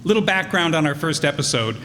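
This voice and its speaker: A man's voice: a little high, lively intonation, brisk, a bit bright. A man hosting a live radio play evening, introducing it in a folksy, jokey way. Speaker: announcer and host. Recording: reverberant hall, faint background noise.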